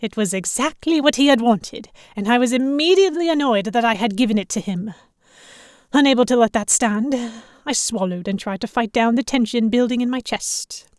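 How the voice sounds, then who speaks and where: A woman playing a sharp-tongued young lady hostage among pirates, narrating her own story in an expressive, introspective way. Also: fairly high pitch, normal speed, dry recording, clean studio sound, natural voice. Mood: flustered. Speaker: narrator and lead character. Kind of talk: first-person audio drama narration.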